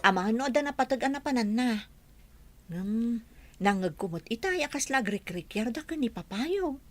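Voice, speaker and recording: A woman's voice: a little high, animated, normal speed, no echo. Respectful, gossipy housemaid, played by a woman. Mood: hesitant, respectful. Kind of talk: Ilocano radio drama acting.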